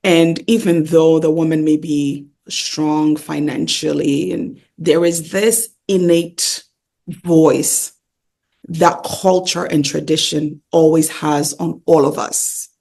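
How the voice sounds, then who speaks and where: Gravelly, husky woman teaching. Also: low voice, fairly even intonation, takes it slowly, darkish tone. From Ghana. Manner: emphatic, repeats key phrases, rhetorical questions. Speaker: interview guest, pastor and wellness coach. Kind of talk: conversational teaching.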